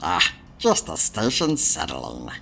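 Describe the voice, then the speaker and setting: A woman's voice: extremely low pitch, huge pitch swings, slow pace, gravelly, fairly bright tone, a little hiss or hum, heavily acted. A woman voicing an evasive, smugly dismissive space station authority in a noir adventure game, drawing out words with condescension. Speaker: video game character. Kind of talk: noir point-and-click game voice acting.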